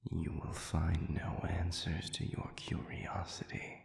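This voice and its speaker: A man voicing an ancient, solemn celestial being in an audio roleplay, speaking in a breathy whisper with formal, archaic phrasing and halting pauses. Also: low-pitched, flat delivery, heavily acted. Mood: calm, coaxing. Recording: reverberant, echoey sound.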